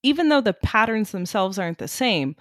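A woman's voice: mid-range voice, sing-song, fairly quick, no room sound. A woman with a mid-range voice explains things in an articulate, conversational way, like an educator in an interview. The recording is dry, with very little room sound. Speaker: music cognition researcher and educator, interviewee.

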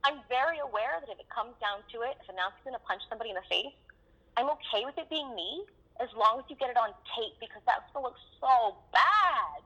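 A woman guest in a casual interview, wry and animated, laughing and swearing as she talks. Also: a little high, quick pace. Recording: dull phone line.

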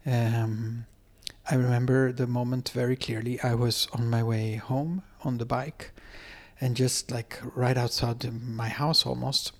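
A middle-aged man speaks in English with a Swedish accent, his voice at an ordinary pitch for a man. He talks as an interview guest, hesitant and thoughtful, filling gaps as he recalls details. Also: level tone, unhurried. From Sweden. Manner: hesitant, many fillers and restarts. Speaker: dance professor, guest. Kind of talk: conversational interview. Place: apartment.

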